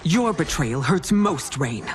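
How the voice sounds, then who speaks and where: A woman's voice: low voice, sing-song, slow, very noisy, heavily acted. A woman playing a proud, wrathful middle-aged empress in a video game, speaking in a formal, imperious, commanding way. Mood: bitter, wounded. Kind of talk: dramatic video game acting.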